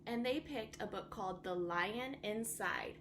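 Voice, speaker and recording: A woman teacher reading a children's bedtime story aloud with expressive, dramatic emphasis. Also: mid-range voice, unhurried, slight background noise. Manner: animated, dramatic emphasis for young listeners.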